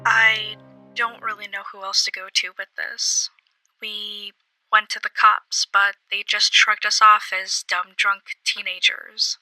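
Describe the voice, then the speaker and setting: Skeptical female college student, very sharp bright tone, hesitant confiding testimony, faint music behind. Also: mid-range voice, flat delivery, slow, no room sound, poor audio. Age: young adult. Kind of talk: audio drama testimony.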